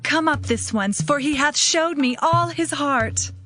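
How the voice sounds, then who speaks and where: An actress playing a seductive, insistent temptress in a Bible audio drama, pleading in archaic language. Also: female voice, high-pitched, unhurried, over music. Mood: conspiratorial.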